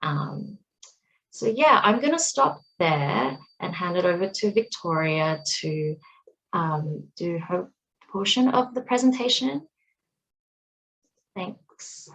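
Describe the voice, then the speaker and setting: A woman's voice: lowish voice, animated, creaky, a bit bright, a little hiss or hum, poor audio. A woman with a Canadian accent, an earnest youth-work facilitator presenting on a video call with frequent fillers. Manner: earnest, measured, with many fillers. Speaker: workshop facilitator. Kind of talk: online presentation.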